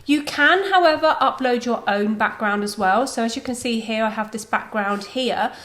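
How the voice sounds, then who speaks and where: Woman presenting a step-by-step software tutorial. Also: on the high side, normal speed. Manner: informal, step-by-step explaining. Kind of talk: video software tutorial, explanatory and conversational.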